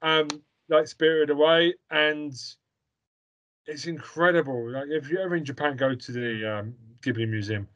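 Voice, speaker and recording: A man's voice: on the high side, normal speed, fairly bright tone, muffled recording. A young man co-hosting a podcast, chatting about a film in an opinionated, rambling way with lots of fillers and repeated words. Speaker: podcast co-host. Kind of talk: casual chat reviewing a film.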